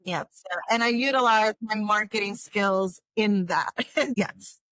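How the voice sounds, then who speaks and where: A woman's voice: medium pitch, a little slow, fairly bright tone, no room sound. A middle-aged woman from New York, a guest in a casual interview, rambling with frequent restarts and laughs. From New York, United States.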